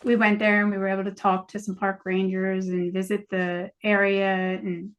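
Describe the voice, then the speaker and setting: A woman's voice: medium pitch, little pitch movement, normal speed. American woman chatting as an interview guest. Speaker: podcast guest, novelist. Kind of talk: casual interview chat.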